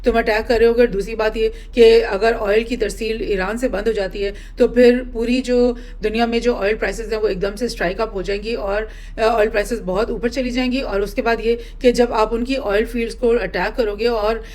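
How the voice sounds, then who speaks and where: A woman gives informal news commentary as a host, explaining and rephrasing her points in a halting way. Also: a little high, fairly even intonation, darkish tone, poor audio. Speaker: host presenting news analysis.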